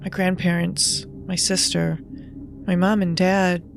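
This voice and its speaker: A woman acts out an uneasy young female storyteller in a horror audio drama. Her voice sits a little low. Loud music plays right through it. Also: little pitch movement, takes it slowly, vocal fry. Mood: haunted, dread-filled. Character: uneasy young woman telling spooky stories. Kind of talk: audio horror anthology acting.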